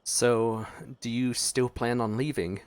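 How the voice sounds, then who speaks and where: Low-voiced man reacting to a game. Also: fairly even intonation, takes it slowly, clear, resonant tone. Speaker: game streamer. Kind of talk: gameplay reaction, reading along and commenting.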